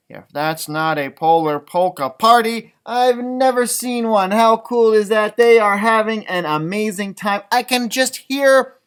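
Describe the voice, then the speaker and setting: A man reading a children's picture book aloud in an animated way, stretching out read phrases and adding chatty, exclaiming asides. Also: sits high, clean tone. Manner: animated, exclaiming, drawn-out words when reading. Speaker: storybook reader, elementary music teacher.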